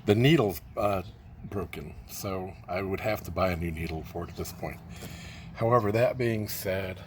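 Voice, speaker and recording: A man with a fairly low voice talks through his restored radio phonograph in a low-key, halting way, his sentences often trailing off. The recording carries a steady wash of background noise. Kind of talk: casual show-and-tell demo. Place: near the radio, away from the house. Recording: noisy amateur recording.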